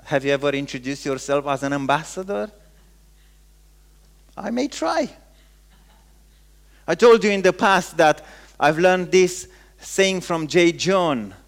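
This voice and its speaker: A male pastor from Romania with a Romanian accent, preaching a sermon in emphatic, halting phrases and repeating words for weight. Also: on the high side, lively intonation, creaky.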